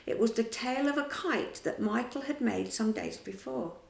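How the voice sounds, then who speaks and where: A woman reading a children's storybook aloud in a slow, expressive way. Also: fairly high pitch, creaky, reverberant.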